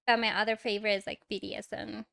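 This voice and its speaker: A young woman from Morocco, a native Arabic speaker with an accent, chatting as a podcast guest in a hesitant, soft manner full of fillers and small laughs. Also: medium pitch, little pitch movement, fast talker, creaky. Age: young adult. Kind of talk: casual interview chat. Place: small indoor studio, on a couch. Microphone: lavalier mic.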